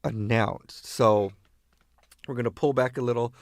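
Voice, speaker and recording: Laid-back radio host guy from east la, slow talk, creaky, dry close sound. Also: mid-range voice, steady tone, dry recording. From East Los Angeles. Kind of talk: casual radio hosting between songs.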